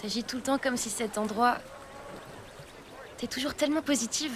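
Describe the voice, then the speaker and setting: An actress dubbing a soft-spoken woman who recounts her childhood in a small village abroad. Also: a little high, normal speed, a little hiss or hum, natural voice. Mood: confiding. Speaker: character in a dubbed series. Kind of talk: television dub acting.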